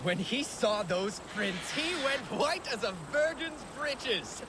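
An actor playing a boastful, amused Viking warrior telling a theatrical tale in a video game, over loud water and boat noise. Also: male voice, very high-pitched, animated, slow, exaggerated voice. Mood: amused, mischievous. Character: boastful male Viking warrior recounting a boyhood prank. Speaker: storyteller. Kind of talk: dramatic video game storytelling.

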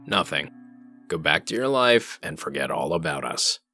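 Casting: male actor for no-nonsense comic dub sheriff. Rock-bottom pitch, sharp forceful tone, terse and threatening. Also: sing-song, moderate pace, gravelly, soft background music, heavily acted. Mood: menacing.

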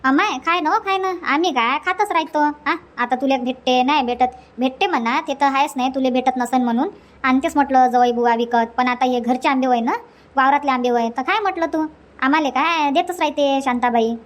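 A woman's voice: high-pitched, fairly quick, lightly acted. A woman voicing a chatty, teasing village aunt in a comedy cartoon, exclaiming in a Varhadi Marathi accent. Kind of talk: cartoon comedy dialogue in rural dialect.

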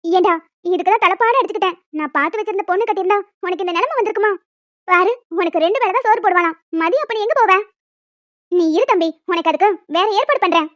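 A woman's voice: very high-pitched, fast talker, a bit bright, highs cut off. A woman voicing a nagging, disapproving older mother-in-law who complains and scolds in a cartoon family drama. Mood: indignant.

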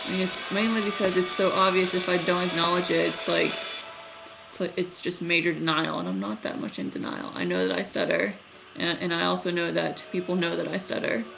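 Young woman who stutters, low and gravelly. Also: flat delivery, over music, poor audio. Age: young adult. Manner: stuttering, halting, many fillers and restarts. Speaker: vlogger. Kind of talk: casual webcam vlog. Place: small room at night, indoors. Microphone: webcam at close range.

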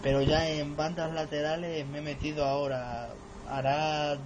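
A man's voice: a little high, little pitch movement, moderate pace. A teenage male, a novice radio operator, chatting casually on a radio contact. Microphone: camera filming the radio set in room. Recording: radio static and noise behind voice.

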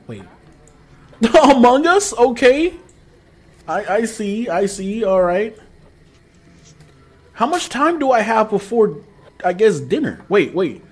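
A man's voice: high voice, husky. A young man streaming a horror game live, talking nervously to his chat with sudden yelps and laughs. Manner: jumpy, nervous, bursts of loud yelps and laughter. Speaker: gaming streamer. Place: small room with posters behind.

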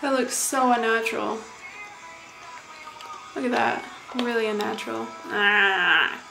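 A woman's voice: on the high side, slow pace, vocal fry, rough recording. A young adult woman rambling casually through a makeup tutorial, muttering offhand as she works in a small bathroom. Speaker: vlogger demonstrating makeup effects. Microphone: camera a short distance away. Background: music playing.

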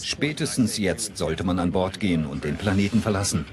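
A man's voice: low voice, lively intonation, normal speed. A man speaking a scripted documentary voice-over. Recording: loud music behind the voice.

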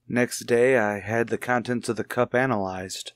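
An actor playing an anxious husband in a radio mystery, halting and earnest as he confides in a friend. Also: medium pitch, steady tone, no room sound, clean studio sound, close to an everyday voice. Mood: grave, uneasy. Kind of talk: radio mystery drama acting.

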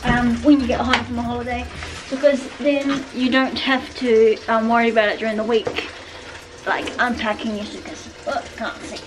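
A woman's voice: fairly high pitch, level tone, normal speed. A young woman vlogging to a handheld camera, chatting casually and rambling with many ums and small laughs, first out in a windy town and then at home. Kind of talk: casual vlog chat. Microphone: handheld camera at arm's length.

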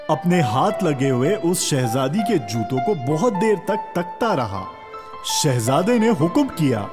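Animated, higher-pitched male storyteller. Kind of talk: scripted children's fairy tale narration. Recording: long reverb, music underneath.

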